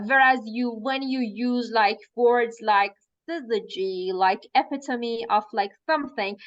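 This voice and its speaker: A woman's voice: fairly high pitch, fairly bright tone, no echo, dull audio. A woman whose first language is Farsi, coaching a live online training and explaining at length with frequent fillers.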